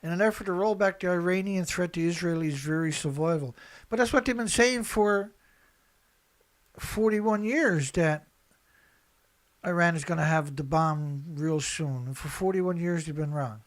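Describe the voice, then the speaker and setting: A man with a slightly high voice delivers opinionated news commentary. He speaks bluntly and colloquially, hammering points by repeating them. It sounds like an unscripted online show where he reads headlines and reacts. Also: normal speed. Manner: emphatic, repetitive, blunt, colloquial. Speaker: online commentator and activist host.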